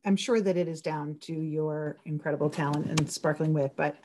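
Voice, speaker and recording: A woman's voice: sits low, steady tone, moderate pace, dark tone. A woman talking as a writer on a discussion panel, effusive and chatty, wandering into asides as she puts questions.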